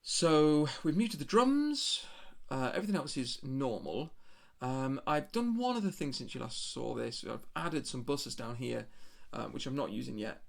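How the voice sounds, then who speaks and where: A man's voice: mid-range voice, big pitch swings, unhurried, creaky. A middle-aged man talking casually and hesitantly through his screen as he works, rambling and correcting himself. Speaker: amateur home-recording musician and streamer. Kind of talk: casual screen-share walkthrough, thinking aloud. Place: small room at home, indoors.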